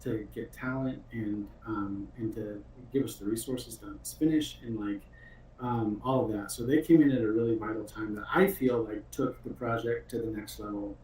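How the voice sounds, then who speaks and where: A man's voice: lowish voice, steady tone, normal speed, a little mellow, far from the mic, low-quality recording. A man in a casual developer chat, speaking earnestly and informally in an appreciative manner. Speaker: game developer.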